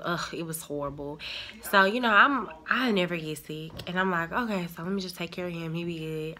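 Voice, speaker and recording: A woman's voice: fairly low pitch, pushed and bright. Congested woman chatting to camera. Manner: chatty, addresses viewers as y'all. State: congested from a cold. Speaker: vlogger. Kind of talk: casual chat to camera. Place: dining room at home, indoors. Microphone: handheld phone at arm's length.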